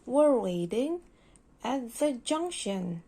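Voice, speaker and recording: A woman's voice: on the high side, sing-song, slow pace, warm tone. A woman reading a storybook aloud to a young child, expressively, coaching and prompting as she goes. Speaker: parent helping a child read.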